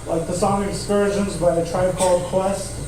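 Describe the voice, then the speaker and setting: A man's voice: high voice, little pitch movement, normal speed, dark tone. A man in his twenties performing a laid-back, crude stand-up set in a comedy club, laughing at his own jokes. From Tucson, Arizona. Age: 20s. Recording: echoey club audio from far off, noisy.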